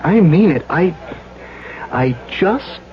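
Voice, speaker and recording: An actor playing a conscientious, troubled young engineer in a 1970s radio drama, with a hesitant, stammering delivery. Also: male voice, high-pitched, animated, takes it slowly, very noisy. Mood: troubled, reluctant. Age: young adult. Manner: hesitant, stammering, soft-pedalling. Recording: muffled old radio broadcast.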